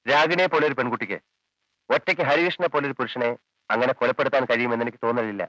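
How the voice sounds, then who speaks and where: An actor playing a calm male police officer who questions a witness with measured, probing lines in a 1980s film. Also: mid-range voice, no echo, highs cut off, lightly acted. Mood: reasoning. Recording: dry 1980s film soundtrack, faint music.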